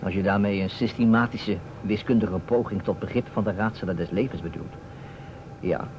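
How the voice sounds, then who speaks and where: A man acting a self-assured, slyly teasing detective, measured and deliberate with dramatic pauses. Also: fairly low pitch, close to an everyday voice. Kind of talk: old Dutch radio play acting. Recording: muffled old radio recording, faint noise.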